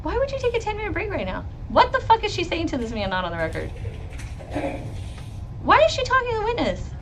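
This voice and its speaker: A woman lawyer with a loud voice, hosting a live stream about a trial and reading chat questions. She talks bluntly, swears freely and laughs often. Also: very high-pitched, huge pitch swings, fast talker, background noise. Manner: blunt and profane, with frequent laughs. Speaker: lawyer and legal commentator.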